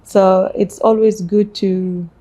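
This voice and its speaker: A woman with a South Sudanese accent answering interview questions about herself in measured, halting phrases. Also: medium pitch, level tone, warm tone. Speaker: interview guest, businesswoman. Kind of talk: interview, personal life story.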